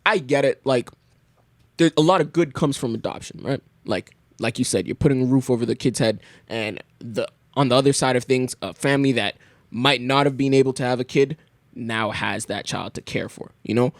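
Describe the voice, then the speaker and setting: A young man from Canada, a podcast guest, talking things through in a thoughtful, halting way with many restarts. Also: medium pitch, no room sound. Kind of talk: casual conversation.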